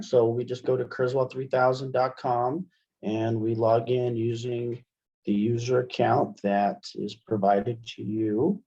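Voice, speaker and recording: A middle-aged man leads an online software tutorial with a mellow, relaxed tone at a medium pitch. He explains step by step in a halting way. The headset sound is dull and lo-fi. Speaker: webinar presenter, college media specialist. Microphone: headset microphone on video call. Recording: dull, lo-fi webinar headset audio.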